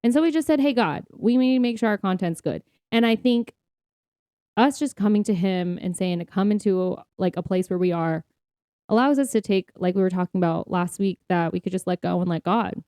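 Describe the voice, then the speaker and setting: A woman's voice: medium pitch, brisk, warm tone, dry recording, studio quality. A woman co-hosting a faith podcast, talking in an informal, chatty way with frequent affirming interjections. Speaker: podcast co-host. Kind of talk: casual conversational faith podcast.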